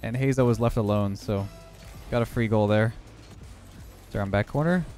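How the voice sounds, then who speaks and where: A young man who has lost some of his voice, hoarse, giving relaxed running commentary over his own gameplay. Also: fairly low pitch, normal speed, soft background music. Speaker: gaming YouTuber.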